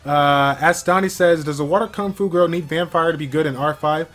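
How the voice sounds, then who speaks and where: A man hosting a casual game livestream, reading viewer questions from chat and answering in a relaxed, filler-heavy way. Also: fairly high pitch, a little fast. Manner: chatty, many fillers, reads viewer questions aloud. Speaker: stream host.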